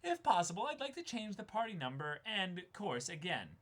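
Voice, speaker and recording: A man's voice: sits high, very animated, fairly quick, a bit bright, pitched above the performer's own voice, exaggerated voice. A man voicing a formal, polite tour company agent in a manga reading. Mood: polite, businesslike.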